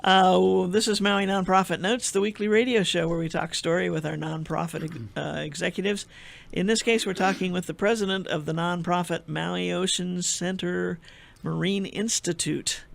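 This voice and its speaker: A man's voice: high voice, steady tone, moderate pace, vocal fry. A man from the Midwest hosting a radio interview show, chatty with rambling questions. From American Midwest.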